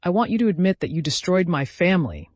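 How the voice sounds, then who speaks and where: A vengeful woman read by a man in an audiobook drama, deliberate and menacing. She is bitter and tightly controlled, in a slightly raised male register with a very dry sound. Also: high-pitched, big pitch swings, dry recording, pitched above the performer's own voice. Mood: bitter grief. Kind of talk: dramatic audiobook character reading.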